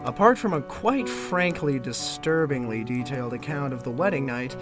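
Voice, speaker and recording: An actor playing an impertinent, bumbling young gentleman, narrating a gothic parody audio drama in formal, wordy style. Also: medium pitch, animated, normal speed, music in the background, close to an everyday voice. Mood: earnest storytelling.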